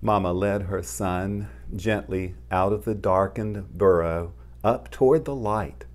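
A middle-aged man reading a children's picture book aloud in a gentle, deliberate manner, explaining as he goes. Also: low voice. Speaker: storytime host, pastor. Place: living room, indoors.

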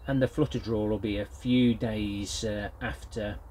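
A man's voice: lowish voice, fairly even intonation, a little slow, a little mellow, slight background noise, rough recording. An older British man giving a casual, unscripted product review in a hesitant, rambling way. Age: older, grandfather. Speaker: gardening vlogger reviewing a product.

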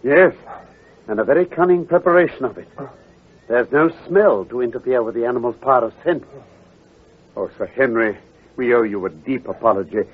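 An actor playing a sharp, commanding middle-aged detective, giving terse orders in 1940s radio acting style. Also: male voice, mid-range voice, normal speed, pauses often, husky, natural voice. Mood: calm resolve. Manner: clipped, authoritative, gives terse orders. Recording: scratchy lo-fi 1940s radio recording with hiss.

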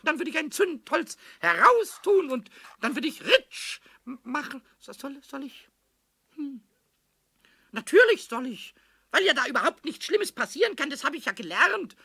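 An actor playing a small, excitable, mischievous kobold in a children's audio play, squawky and croaky, stammering and repeating words. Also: male voice, extremely high pitch, moderate pace, dry recording, clean studio sound, heavily acted. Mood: boastful, eager.

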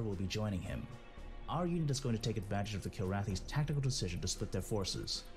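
A man's voice: fairly low pitch, a little mellow. A man playing a stern, formal military officer delivering a mission briefing in a space game. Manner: formal, authoritative.

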